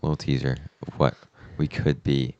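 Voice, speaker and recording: Young man, extremely low voice, smooth, dull lo-fi recording, easygoing podcast host. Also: level tone, normal speed, warm tone, no echo. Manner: easygoing, chuckling, steers the segments. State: slightly under the weather. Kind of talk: casual chat. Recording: dull lo-fi sound.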